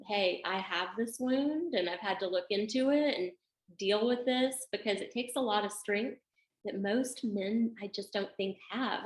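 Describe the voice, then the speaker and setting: A middle-aged woman psychologist answering interview questions in a measured, thoughtful way. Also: mid-range voice. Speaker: psychologist guest. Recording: echoey room sound.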